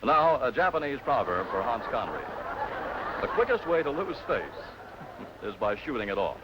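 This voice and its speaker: Male quiz show announcer, animated scripted reads, noisy old television audio. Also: medium pitch, moderate pace. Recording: noisy muffled 1950s television soundtrack.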